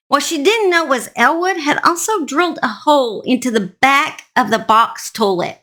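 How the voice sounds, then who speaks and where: A woman's voice: fairly high pitch, bright, forceful tone. A woman hosting and telling a true-crime story in a folksy, emphatic, conversational way. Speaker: host narrator.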